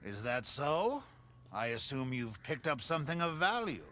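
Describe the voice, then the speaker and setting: An actor playing a genial, enigmatic video game merchant who speaks with theatrical, courtly politeness. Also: male voice, mid-range voice, sing-song, moderate pace, pushed and bright, slight background noise. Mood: knowing, calm. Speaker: game shopkeeper.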